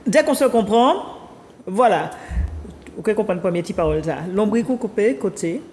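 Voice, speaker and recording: A woman's voice: medium pitch, lively intonation, moderate pace, darkish tone. A woman hosting a TV interview, asking playful, teasing questions. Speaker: TV host. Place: TV studio. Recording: reverberant room with faint noise.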